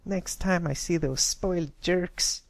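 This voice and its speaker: Guy doing a brash little pony girl, scornful n tomboyish, voice pushed high, storybook reading. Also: slow, gravelly, no echo, heavily acted. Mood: fuming. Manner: blunt, scornful. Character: brash, tomboyish young filly pony, indignant.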